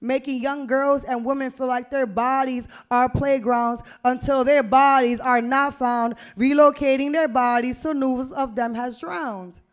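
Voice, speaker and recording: A young woman performing spoken word poetry into a stage mic, with deliberate, emphatic, rhythmic phrasing. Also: sits high, steady tone. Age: young adult. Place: small indoor venue stage with brick wall. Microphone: handheld-type vocal mic on a stand, close to the mouth.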